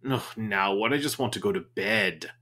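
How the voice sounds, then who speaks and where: A man's voice: on the low side, normal speed, sharp tone. A man playing a muddled, boozy, melodramatically aggrieved sidekick in an old-time radio-style detective drama. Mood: muddled, weary. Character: grumpy, rambling, boozy male sidekick, theatrically aggrieved.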